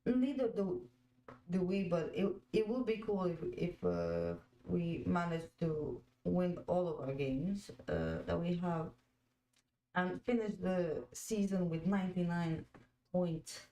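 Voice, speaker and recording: Fan-stream host, woman in her twenties, Croatian native, low pitch, slow relaxed delivery, chatty. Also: rough recording. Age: 20s. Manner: chatty, laughs often, reads out viewer comments. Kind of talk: casual live watch-along chat.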